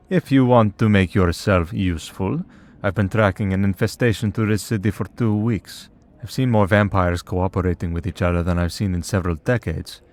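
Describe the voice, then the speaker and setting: An immortal male vampire who hunts his own kind, acted by a man for an audio roleplay. His voice sits low, and he talks in a wry, conversational way with weary patience and dry exasperation. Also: close to an everyday voice. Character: centuries-old male vampire hunter in priest's collar, wry, patient. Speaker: voice actor. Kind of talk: scripted ASMR roleplay.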